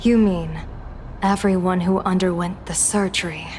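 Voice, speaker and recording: A woman acting a serious, concerned female leader in a video game, with a measured, grave delivery. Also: on the low side, fairly even intonation, a little mellow, slight background noise, natural voice.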